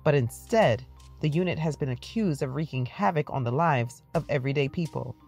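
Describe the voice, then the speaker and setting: A woman news reporter reading a scripted story in a measured voice. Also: sits low, expressive, darkish tone, soft background music.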